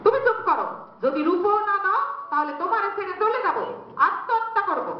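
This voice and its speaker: A nagging village wife played by a woman in a comic play, with a very high voice ringing in an echoey, dull recording. She is sulky and stubborn and snaps back with indignation. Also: big pitch swings, a little fast. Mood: threatening. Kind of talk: comic stage-style drama acting. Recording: very echoey, dull hall recording, faint noise.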